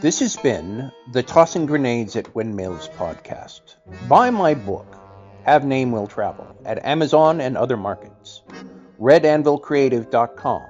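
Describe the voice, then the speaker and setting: Male announcer over music, mid-pitched. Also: sing-song, unhurried, a little mellow, highs cut off. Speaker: podcast announcer. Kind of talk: scripted promotional outro.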